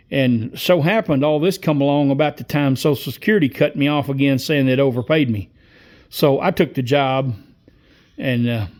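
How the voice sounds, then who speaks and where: A man in his sixties talks through a long personal story in a loose, folksy ramble. He pauses often to gather his thoughts and keeps a mid-range voice. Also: mid-range voice. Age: 60s. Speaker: vlogger. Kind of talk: casual storytelling monologue.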